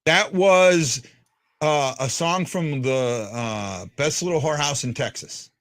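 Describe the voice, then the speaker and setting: A man with a deep voice hosting a casual fan podcast, rambling with stumbling restarts. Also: slow pace, a bit bright. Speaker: podcast host.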